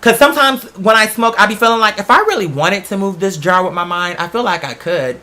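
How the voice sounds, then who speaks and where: A host with a bright, forceful voice at a middling pitch talks casually and directly to followers. The delivery is candid and rambling, full of familiar slang, like an unscripted chat with friends. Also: lively intonation, a little fast. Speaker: online show host. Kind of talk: casual conversational monologue to followers.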